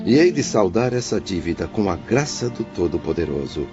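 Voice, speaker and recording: A man's voice: low-pitched, big pitch swings, moderate pace, dark tone. A man playing a remorseful, grieving lover in a heartfelt radio drama. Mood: anguished remorse. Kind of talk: dramatised radio-play dialogue. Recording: dull lo-fi audio with music behind. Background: clear background music.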